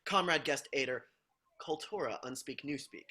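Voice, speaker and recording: A man reading a bright, dogmatic party zealot. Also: medium pitch, moderate pace. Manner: clipped invented jargon, stiff and dogmatic. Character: rigid patriotic teacher's assistant, stern zealot. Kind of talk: amateur play reading.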